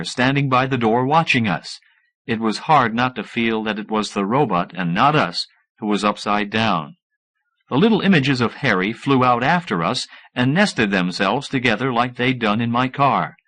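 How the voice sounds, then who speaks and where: A man narrates a novel in a mid-pitched voice with lively, swinging intonation. He reads as a practised audiobook narrator, giving characters their own voices. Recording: 1980s audiobook cassette recording.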